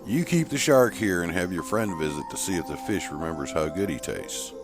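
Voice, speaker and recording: A man's voice: low voice, animated, fairly quick, music in the background. A man voicing a sarcastic, skeptical male character in a horror audiobook.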